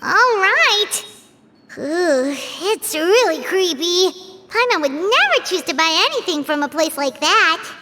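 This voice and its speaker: An actress voicing a chatty, excitable little floating fairy companion who talks about herself in the third person. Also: female voice, slow pace, noisy background, full character voice. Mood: curious. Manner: refers to herself in third person, squeaky and exclaiming. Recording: game audio with music and long reverb.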